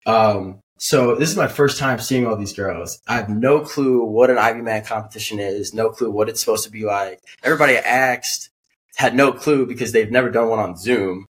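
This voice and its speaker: A man's voice: lowish voice, moderate pace, low-quality recording. A man in his twenties from Staten Island, chatting casually as a guest, laid-back and rambling with lots of fillers. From Staten Island, New York. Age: 20s. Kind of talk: casual chat.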